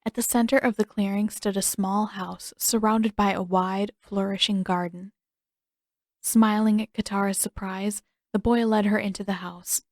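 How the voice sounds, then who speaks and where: A woman's voice: medium pitch, steady tone, normal speed, darkish tone, no echo, studio quality. A woman reading scripted story narration as an audiobook narrator. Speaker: audiobook narrator and fan fiction writer.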